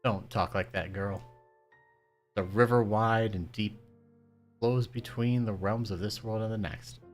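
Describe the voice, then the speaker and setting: A man voicing a gruff, fierce old grandmother. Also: lowish voice, steady tone, measured pace, soft background music, lightly acted. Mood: stern. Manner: gruff, blunt and forceful.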